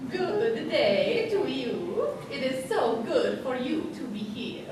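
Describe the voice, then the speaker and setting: A woman's voice: sits high, sing-song, takes it slowly, full character voice. A woman playing a haughty foreign opera diva in a comic radio play, speaking stately, broken English to an audience. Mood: lofty. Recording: distant, very echoey noisy stage recording.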